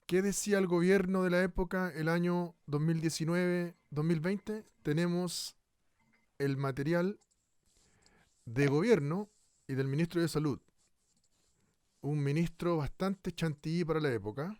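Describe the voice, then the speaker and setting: A probing radio presenter in a radio play acted by a man. His voice is fairly high and very clean, recorded dry and close. He leads the listener along with rhetorical questions. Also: unhurried, long pauses, darkish tone, smooth, no room sound, close to an everyday voice. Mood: inquisitive. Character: probing male radio presenter looking back at the past. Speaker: radio host.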